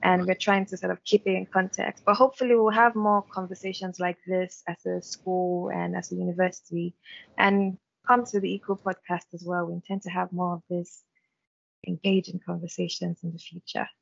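A woman with a gravelly, creaky edge to her voice, hosting an online panel in a chatty, giggly way. The sound is dry and muffled, as if over a video call. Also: on the low side, flat delivery, moderate pace, no echo. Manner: chatty, giggly, loose phrasing. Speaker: moderator.

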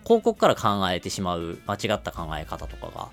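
A man explains things on a business podcast in a low voice with a swinging, animated melody. He moves along rapidly in long connected runs, conversational as a co-host. Faint music plays behind him.

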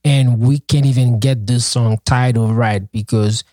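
A man's voice: mid-range voice, steady tone, unhurried, mellow, no echo, clean studio sound. A man whose first language is Haitian Creole, co-hosting a casual podcast, talking earnestly and passionately with lots of fillers and restarts. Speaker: podcast co-host and project founder.

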